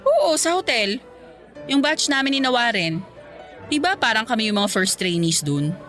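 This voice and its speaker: A woman's voice: a little high, sing-song, normal speed, fairly bright tone, soft background music. An actress playing a chatty, candid single career woman, breezy and teasing with her friend in radio drama dialogue. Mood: hesitant, gossipy.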